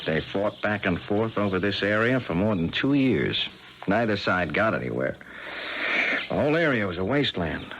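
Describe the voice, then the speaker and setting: An actor playing a decisive, urgent American army sergeant in a 1970s radio war drama. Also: male voice, on the low side, big pitch swings, moderate pace, lo-fi sound. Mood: reflective, calm. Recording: noisy 1970s radio broadcast recording.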